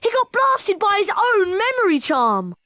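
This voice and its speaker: A woman voicing an excitable, anxious young schoolboy wizard in a video game, speaking in an earnest, exclamatory way. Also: very high voice, unhurried, dry recording, studio quality, full character voice.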